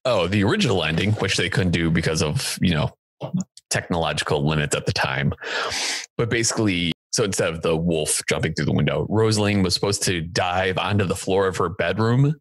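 A man's voice: low voice, fairly quick, dry recording. A man in his thirties co-hosting a casual film review discussion, speaking into a close podcast microphone. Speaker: podcast co-host. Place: indoor home room, remote video call. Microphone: close podcast microphone with headphones.

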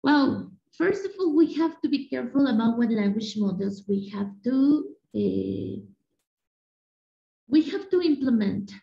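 A woman from Mexico with a Mexican Spanish accent, a Spanish-speaking teacher giving a lively, chatty conference talk to other teachers over a video call. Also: fairly high pitch, unhurried, gravelly, slight background noise. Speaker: teacher presenting at an education conference. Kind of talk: presentation lecture over slides. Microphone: laptop or webcam mic on a video call. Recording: echoey room, dull lo-fi sound.

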